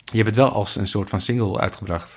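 A man's voice: lowish voice, fast, husky. A man hosting a music podcast, speaking in a casual interview style.

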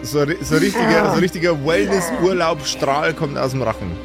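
A man's voice: fairly high pitch, normal speed. A man narrating an improvised tabletop fantasy game as game master, in a casual, joking way. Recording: background music under voice.

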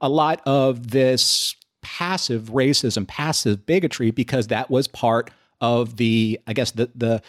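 A man's voice: medium pitch, measured pace, hardly any pauses. An American man explaining his points earnestly in a conversational discussion, often repeating phrases for emphasis. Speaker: podcast panelist.

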